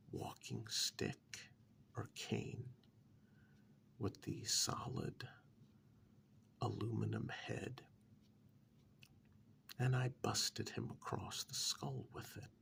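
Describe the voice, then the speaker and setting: Quietly threatening club boss played by a man, ex-military, soft-spoken ASMR delivery, very slow, swinging pitch, breathy and deliberate. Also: exaggerated voice. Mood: grimly reminiscing. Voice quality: breathy half-whisper with gravelly creak. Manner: slow, deliberate, quietly menacing with drawn-out pauses. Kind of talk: soft-spoken ASMR role-play monologue.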